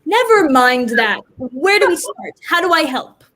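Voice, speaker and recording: A woman's voice: high voice, sing-song, measured pace, sharp tone, heavily acted. A woman actor in a staged play reading, playing Death as an exasperated, reluctant office worker with an emphatic, conversational delivery. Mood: reluctant, earnest. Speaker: actor in a community theater reading.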